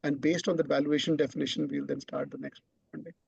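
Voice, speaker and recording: A man's voice: fairly high pitch, sing-song, fast, creaky, a little mellow, slightly rough, no room sound. A man, a startup chief executive, explaining his company's plans in an online question session, often repeating words as he thinks. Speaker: startup CEO presenting. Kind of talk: online business Q&A answer. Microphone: video call. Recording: dull lo-fi online call audio.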